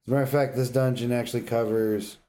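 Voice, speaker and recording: Male podcast co-host, dark relaxed tone at middling pitch, blunt opinions in casual gamer banter. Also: quick pace. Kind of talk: casual gaming banter and debate.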